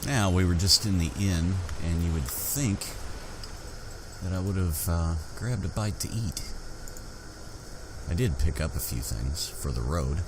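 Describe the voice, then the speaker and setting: A man narrating his fantasy game roleplay in character, unhurried and pausing as he goes. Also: low voice, lively intonation, noisy background.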